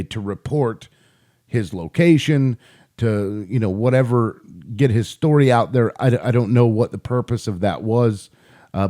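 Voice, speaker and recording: A man's voice: lowish voice, dark tone. A man giving informal opinion commentary between audio clips, spelling his points out emphatically with repetition. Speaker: video commentator.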